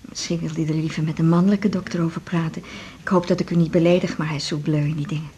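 A woman's voice: low voice, fairly even intonation, fast talker, mellow, a little hiss or hum, lightly acted. A middle-aged woman acting a nervous, confiding wife of a patient in a 1980s radio drama, talking in a homely, hesitant way. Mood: embarrassed, hesitant.

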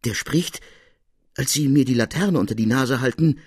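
A man's voice: medium pitch, moderate pace, darkish tone, husky, no echo, clean studio sound, pitched above the performer's own voice, natural voice. A man voicing an honest, frightened servant in an audiobook, with agitated and urgent delivery. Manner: agitated, breathless storytelling, urgent. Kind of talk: dramatic audiobook character reading.

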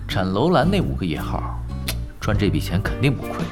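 Nonchalant, very animated young gamer. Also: male voice, low-pitched, a little fast, heavily acted. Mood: gleeful. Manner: nonchalant, teasing. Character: laid-back, shameless, money-minded young male pro gamer. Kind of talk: Chinese audio drama acting. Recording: music behind the voice.

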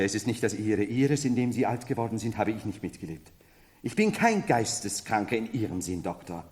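An actor in a 1970s German radio drama playing a bitter, mocking nobleman who feigns madness as a medieval emperor, declaiming theatrically between hush and outcry. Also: mid-range voice, natural voice. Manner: declamatory, swinging from hushed to exclaiming. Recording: reverberant hall.

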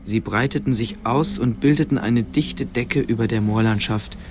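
A man's voice: medium pitch, normal speed. A man narrating a scripted fantasy radio play as its storyteller. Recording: clear background ambience noise.

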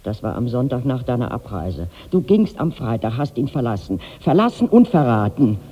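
Mournful accusing servant, mid pitched, theatrical, old muffled radio play w/ long echo. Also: male voice, big pitch swings, normal speed, slightly rough. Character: Panna, mournful, reproachful servant of a dead master. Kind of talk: 1960s German radio play acting. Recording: dull vintage radio recording, long reverb.

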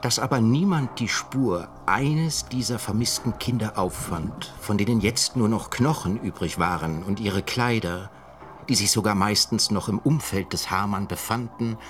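A man acting a solemn, learned chronicler in a radio play. The voice is very low and the delivery measured and literary, with faint music underneath. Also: lightly acted. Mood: grave, measured. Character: grave, erudite male chronicler of a 1920s murder case. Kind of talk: scripted literary narration in a radio drama.

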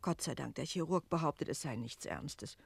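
A woman's voice: sits low, normal speed, creaky, husky, no room sound, full character voice. An actress playing a talkative, tender-hearted female innkeeper in a German radio play, gossipy and emphatic. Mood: indignant, pitying.

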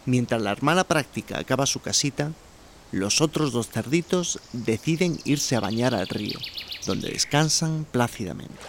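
A man's voice: medium pitch, lively intonation, moderate pace, noisy background. A man narrating a scripted children's story as the narrator of a radio tale.

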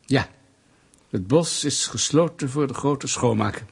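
An actor playing a patronizing, sardonic Soviet official in a radio drama, mock-polite and bullying. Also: male voice, medium pitch, a little slow, gravelly, breathy edge, full character voice. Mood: condescending, mocking. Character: menacing, condescending male Soviet state official, bureaucratic bully.

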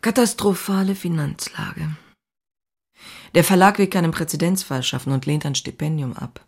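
A woman's voice: deep voice, moderate pace, a little mellow, breathy edge. An actress playing an outspoken, passionate woman writer who confides in her diary in an intimate, wry way. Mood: intimate, restless. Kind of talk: dramatized diary reading, scripted narration.

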